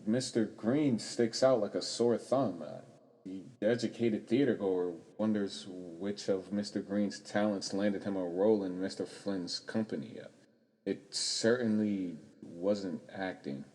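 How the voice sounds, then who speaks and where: An actor playing a hapless, self-doubting, polite young stage actor in a radio play, with a hesitant, stammering manner. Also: male voice, on the low side, measured pace, darkish tone, lightly acted, poor audio. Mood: dejected.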